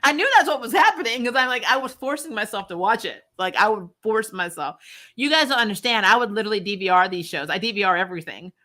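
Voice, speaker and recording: A woman hosting a casual fan livestream, talking in an animated, blunt, jokey way with some swearing. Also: medium pitch, fast. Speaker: show host reviewing superhero TV and reading live comments. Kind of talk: casual livestream chat, rambling fan commentary.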